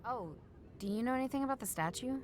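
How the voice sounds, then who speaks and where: A woman's voice: a little high, expressive, quick pace, darkish tone, slight background noise, lightly acted. A woman voicing an inquisitive detective in a video game, musing matter-of-factly as she thinks aloud. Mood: curious. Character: inquisitive young woman detective puzzling over clues.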